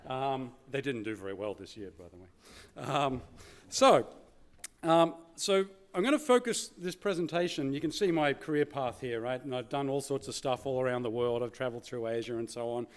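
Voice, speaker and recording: Aussie guy doing a keynote, medium pitch, chatty and informal, lots of you know and kinda. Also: expressive, moderate pace. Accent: Australian. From Australia. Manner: conversational, often saying "you know", "kind of" and "right?". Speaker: keynote speaker. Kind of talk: informal conference presentation.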